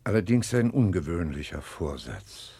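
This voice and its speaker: An actor playing a formal, skeptical public prosecutor in a 1960s German radio drama, measured and dryly bureaucratic. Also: male voice, low voice, sing-song, mellow, husky, no echo, full character voice. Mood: dry, official.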